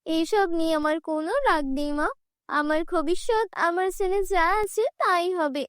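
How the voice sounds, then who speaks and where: Woman as poor young bride-to-be, very high-pitched, proud, plaintive, emphatic, fairy-tale cartoon. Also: moderate pace, a bit bright, dry recording, studio quality. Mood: resigned, earnest.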